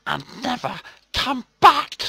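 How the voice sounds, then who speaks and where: High strained screech, wretched little fantasy-film creature, spiteful, rasping, drawn-out venom. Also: male voice, very animated, sharp tone, no room sound, studio quality, heavily acted. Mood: spiteful rage.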